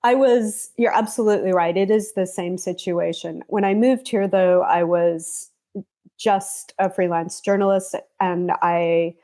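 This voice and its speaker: A woman's voice: fairly low pitch, fairly even intonation, a little slow, dark tone. Thoughtful woman journalist being interviewed. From United States. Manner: thoughtful, with restarts. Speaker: travel journalist guest. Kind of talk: conversational interview.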